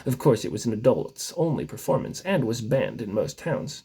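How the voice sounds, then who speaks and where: Husky man reading with very lively pitch. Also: normal speed. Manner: mock-solemn, theatrical delivery. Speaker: story reader. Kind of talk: reading a mock-biblical comic tale aloud.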